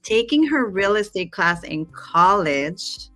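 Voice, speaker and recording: A woman's voice: medium pitch, slow pace. A woman in her forties from Guatemala, raised in Los Angeles, telling a story as a guest and animatedly acting out the dialogue. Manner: animated, acts out quoted dialogue. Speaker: marketing guest. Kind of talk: casual storytelling interview.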